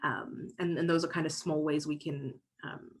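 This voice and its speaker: A woman's voice: low-pitched, steady tone, normal speed. A woman facilitating an online workshop over a video call, speaking thoughtfully with many fillers and restarts.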